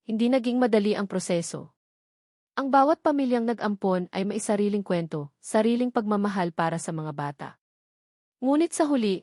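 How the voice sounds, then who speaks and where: Woman, scripted story narration, dramatic delivery, mid pitch, very dry close sound. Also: darkish tone, no room sound.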